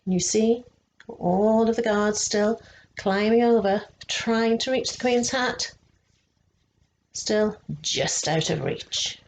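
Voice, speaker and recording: A woman reading a picture book aloud to children, slow and expressive, stopping to ask them questions. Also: medium pitch, slight background noise, poor audio. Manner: slow and expressive, asking the young listeners questions.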